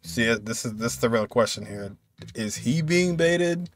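A man's voice: medium pitch, lively intonation, unhurried. A man in his twenties giving casual, musing reaction commentary as he watches a game. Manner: musing, conversational. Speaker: gamer reacting to cutscenes. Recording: game music faintly behind.

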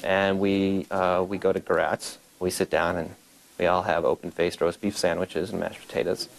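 A man with a deep, gravelly voice recounts a routine outing during an interview. He talks in a relaxed, matter-of-fact way, dropping in fillers as he goes. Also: moderate pace, low-quality recording. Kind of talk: casual interview recollection.

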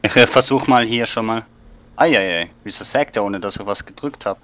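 A man's voice: lowish voice, moderate pace, a bit bright, a little hiss or hum. Casual man chatting while gaming. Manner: casual, joking banter. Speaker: co-player. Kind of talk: casual gameplay chat.

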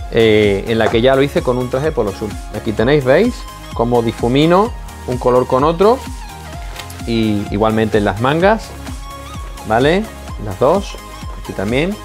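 Animated Spanish man vlogging at home. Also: medium pitch. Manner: animated, repeats phrases, asks "¿de acuerdo?". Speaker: spearfishing channel host. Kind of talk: casual vlog talk to camera. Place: small home office with desk and shelves. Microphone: lapel mic.

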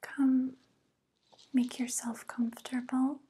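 A young woman playing a softly coaxing evil witch in an ASMR roleplay, whispering slowly and gently, close to the microphone. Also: high voice, exaggerated voice. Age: young adult. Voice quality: breathy whisper. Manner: slow, gentle, flattering, falsely kind. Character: soft, coaxing evil witch luring a guest.

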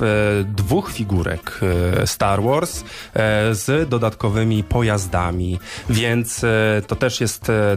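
A man hosting live radio with casual banter, speaking in a hesitant, rambling, jokey way. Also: fairly low pitch. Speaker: radio host. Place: radio studio.

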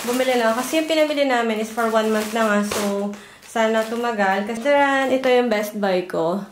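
A woman's voice: a little high, normal speed, background noise. A Filipino-accented woman narrating a haul vlog in a casual, chatty way, listing items one by one. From Philippines. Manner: chatty, itemizing. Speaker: vlogger. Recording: loud background music. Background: music.

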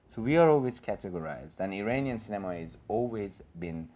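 A man's voice: lowish voice, animated, a little fast, warm tone. A man in his thirties with a Persian accent, speaking on a gallery panel in an earnest, rambling way full of fillers and restarts. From Iran. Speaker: panelist and filmmaker. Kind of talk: panel discussion. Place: small gallery room with an audience.

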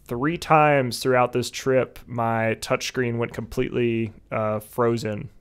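A young man in his twenties chatting casually on a podcast video call, relaxed, with lots of fillers. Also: medium pitch, takes it slowly, clear, resonant tone. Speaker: podcast guest. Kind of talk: casual chat. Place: home room, indoors. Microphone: headset with video call.

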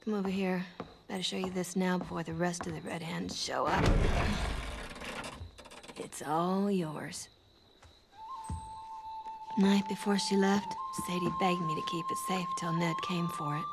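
A woman's voice: on the low side, fairly even intonation, unhurried, pauses often, loud music behind the voice. A woman playing a tough, no-nonsense young American saloon girl in a western video game. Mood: confiding. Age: young adult.

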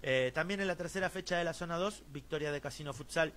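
A man's voice: on the high side, quick pace, fairly bright tone. Argentine man reading futsal results. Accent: Argentine Spanish. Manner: many fillers and restarts, reads long lists of scorers. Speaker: host of a local futsal news stream. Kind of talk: sports news roundup, reading results.

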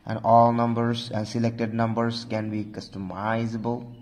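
A man with a mid-pitched voice hosts a phone tutorial. He narrates informally, guiding the listener step by step and often returning to the same stock phrases. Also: little pitch movement, unhurried, darkish tone, a little hiss or hum. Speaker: tech tutorial host.

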